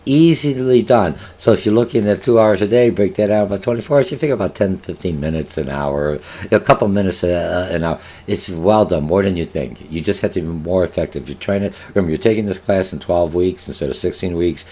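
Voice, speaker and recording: A man's voice: low-pitched, brisk, few pauses, dark tone. An older man whose first language is Polish, giving an online college marketing lecture over slides, rambling with many filler sounds, restarts and rhetorical questions. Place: home office, indoors.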